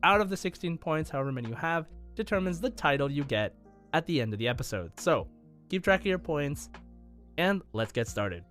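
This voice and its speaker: A man hosting a gaming podcast in casual, enthusiastic talk, with halting starts and emphatic stresses. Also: a little high, very animated, fairly bright tone, soft background music. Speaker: podcast host and quizmaster.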